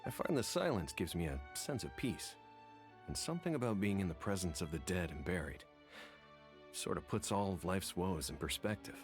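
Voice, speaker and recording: An actor playing a gentle, melancholy man in a video game, reflective and soft-spoken. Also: low voice, big pitch swings, normal speed, faint music behind. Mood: wistful. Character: gentle, melancholy man who finds peace in cemeteries.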